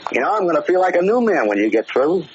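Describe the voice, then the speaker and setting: An actor playing a cocky, tough-talking gangster in a slangy 1940s radio drama style. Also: male voice, high-pitched, big pitch swings, quick pace. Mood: brash, impatient. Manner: slangy, brash. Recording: muffled, hissy 1940s radio recording.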